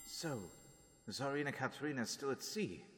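A man playing a diarist who reads dated journal entries and reports in a measured, matter-of-fact way in a radio drama. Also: mid-range voice, expressive, a bit bright, lightly acted. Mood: grim. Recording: long reverberant room.

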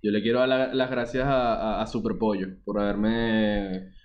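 Jokey Venezuelan podcast co-host; lo-fi, muffled sound. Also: male voice, fairly low pitch, level tone, normal speed. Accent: Venezuelan Spanish. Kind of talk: casual chat.

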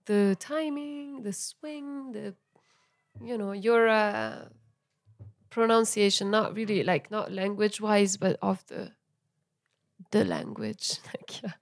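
A young woman whose first language is Italian talks loosely about her music, her voice carrying a light gravelly fry. She fills her sentences with little fillers and soft laughs at a mid pitch. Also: animated, takes it slowly. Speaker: musician guest. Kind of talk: casual interview chat.

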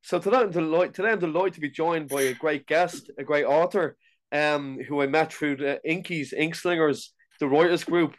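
A man hosting a casual podcast interview, asking halting, rambling questions and often restarting his sentences. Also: a little high. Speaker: podcast host.

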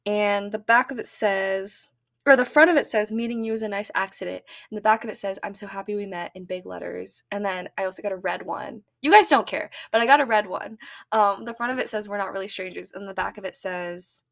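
A young woman hosts her podcast in a chatty, upbeat way, with plenty of "um"s and "like"s. Her voice sits at a middle pitch for a woman. She sounds relaxed and informal. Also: brisk, creaky. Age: young adult. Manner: chatty, filler-heavy, upbeat. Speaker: podcast host. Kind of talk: casual podcast monologue.

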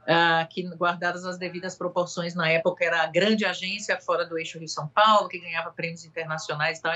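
Brief: middle-aged Bahian woman, lowish voice, thoughtful mentor on a panel. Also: a bit bright. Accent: Bahian Portuguese. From Salvador, Brazil. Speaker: mentor and guest. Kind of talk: panel conversation.

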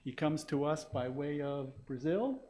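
A man's voice: a little high, lively intonation, a little slow, darkish tone, slight background noise. A man introducing a guest speaker in church, rambling with many fillers. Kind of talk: casual introduction.

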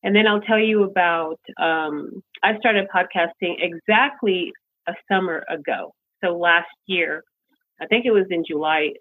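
Halting man on a tinny line. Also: sits high. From United States, childhood in Colombia. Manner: rambling, halting, many fillers. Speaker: podcast host. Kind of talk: casual podcast chat.

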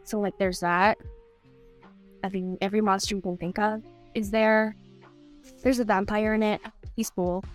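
A woman's voice: medium pitch, level tone, normal speed, long pauses, soft background music. A woman from Canada, chatting casually as a podcast guest in a rambling way, full of "like". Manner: rambling, chatty, heavy use of "like". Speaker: guest author.

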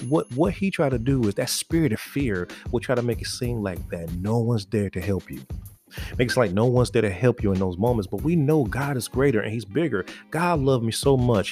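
A man's voice: mid-range voice, darkish tone. A man in his forties preaching casually to other men, earnest and exhorting, often restarting and repeating words. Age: 40s. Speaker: faith podcast host.

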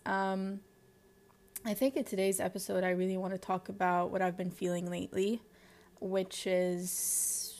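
A young woman in her twenties with a Lebanese accent, hosting a personal diary-style podcast and talking candidly and introspectively, often pausing to search for words. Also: mid-range voice, a little mellow. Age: 20s. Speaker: podcast host.

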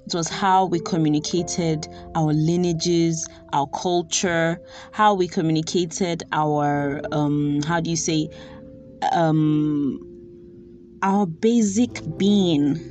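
A young Nigerian woman with a Nigerian accent, thoughtfully telling a personal story and pausing to search for words. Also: sits low, a little mellow.

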